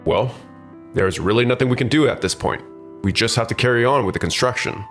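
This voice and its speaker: A stern male boss, acted by a man in a manga dub. His medium-pitched voice is reproachful and resigned, with music behind it. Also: brisk, a bit bright, lightly acted. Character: stern male company boss scolding subordinates. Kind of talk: scripted manga dub acting.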